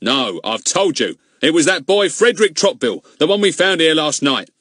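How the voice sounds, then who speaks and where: A man's voice: medium pitch, lively intonation, normal speed, no echo, studio quality, voice pushed up. A man voicing a pompous, blustering middle-aged village policeman who roars and grumbles crossly. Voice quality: loud, cross and bossy.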